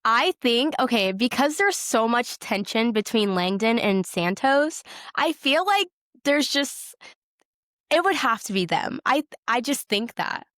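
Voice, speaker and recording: A woman's voice: fairly high pitch, animated, measured pace, fairly bright tone, dry recording, clean studio sound. A woman co-hosting a podcast, chatting casually and informally about television, often dropping in "like". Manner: informal, peppered with "like". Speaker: podcast co-host. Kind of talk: casual chat about a TV show.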